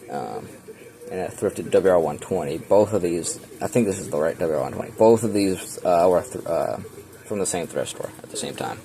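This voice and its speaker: A man's voice: low-pitched, darkish tone, slight background noise, rough recording. A young man casually showing his radio collection, rambling offhand with fillers and self-corrections, filming as he goes. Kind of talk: casual off-the-cuff show-and-tell. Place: small cluttered room full of shelves. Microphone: handheld camera, filming point of view.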